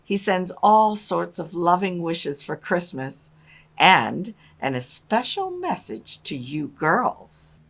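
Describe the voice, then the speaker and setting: An actress playing a loving, patient mother in a dramatised storybook, with a gentle and measured manner. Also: on the low side, very animated, creaky, full character voice. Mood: reassuring.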